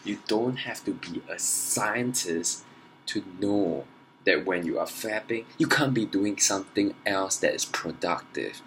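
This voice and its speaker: A man's voice: on the low side, slight background noise, low-quality recording. A young man talking earnestly and emphatically to camera in a personal challenge vlog, trying to persuade his viewers. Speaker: vlogger.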